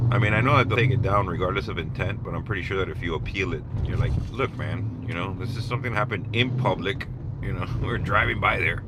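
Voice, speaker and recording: A man with a fairly low voice hosts a livestream from a vehicle on city streets. He talks in an informal, rambling way, with clear car and traffic noise behind him. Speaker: livestream host listening to police scanner. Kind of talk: casual livestream chat and commentary.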